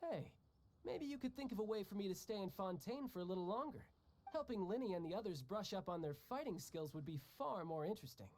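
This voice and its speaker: A man's voice: sits high, lively intonation, fairly quick, a little mellow, slight background noise. An actor playing a cocky young male warrior who talks in a breezy, joking way. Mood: teasing. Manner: breezy, joking, laughs often. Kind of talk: video game dialogue. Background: game music.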